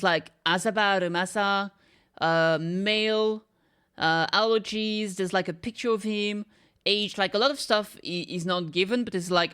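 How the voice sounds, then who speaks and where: A woman's voice: medium pitch, fairly bright tone, clear, resonant tone. A woman giving casual reaction commentary, thinking aloud and often checking for agreement with little tag questions. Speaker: reaction video host.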